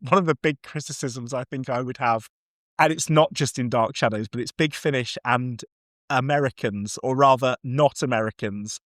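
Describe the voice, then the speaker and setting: Man, medium pitch, podcast co-host, casual review talk, enthusiastic, discursive, self-correcting, dry recording. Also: a bit bright, dry recording.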